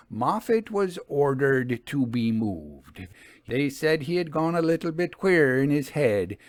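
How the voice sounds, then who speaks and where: Storybook railway signalman, played by a male reader: animated, higher-set voice, deferential and matter-of-fact, colloquial, dry close sound. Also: dry recording. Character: plain-spoken working railway signalman, respectful and matter-of-fact. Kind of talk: dramatised ghost story reading.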